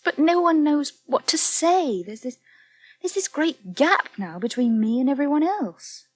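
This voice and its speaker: A woman's voice: high voice, sing-song, a little slow, dry recording, low-quality recording. An actress playing a withdrawn, shaken young woman in a naturalistic radio soap, hesitant and subdued. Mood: resigned.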